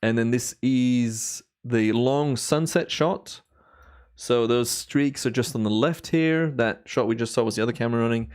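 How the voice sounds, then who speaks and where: Relaxed, slightly hoarse man rambling on stream. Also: medium pitch, measured pace. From Belgium. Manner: relaxed, rambling, chatty with viewers. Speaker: timelapse photographer streaming. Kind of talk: relaxed live stream commentary.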